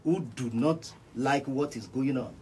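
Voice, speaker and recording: A man's voice: medium pitch, unhurried, warm tone, slight background noise. A man with a Nigerian accent debates politics as a radio guest, oratorical and insistent, often repeating phrases. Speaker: radio panel guest, reverend. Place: radio station.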